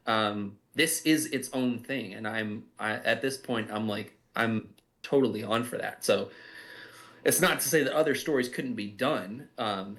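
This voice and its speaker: A man's voice: medium pitch, fairly bright tone. A man who writes and makes audio drama, speaking unscripted and reflectively, often circling back to rephrase as he goes. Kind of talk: unscripted commentary discussion.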